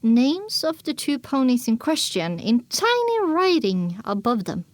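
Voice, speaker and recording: A woman narrating a first-person story as an audiobook, expressive and dramatic, on a close microphone. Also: sits high, unhurried, no echo.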